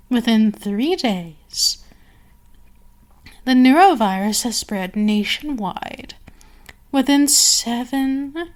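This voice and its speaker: A woman playing an imperious, seductive vampire queen in an ASMR roleplay, speaking deliberately and condescendingly, sometimes slipping into whispers. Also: on the high side. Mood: gloating, grandiose. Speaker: audio roleplay performer.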